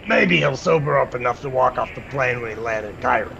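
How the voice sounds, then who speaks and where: A man's voice: mid-range voice, animated, fairly quick, gravelly, muffled recording, noisy background. A man playing a dry, organized tour manager in 1940s-style radio comedy. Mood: businesslike.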